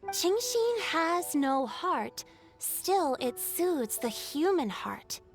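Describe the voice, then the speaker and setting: An actress playing a gentle young woman who recites poetic lines deliberately. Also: high voice, sing-song, faint music behind, heavily acted. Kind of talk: game cutscene voice acting.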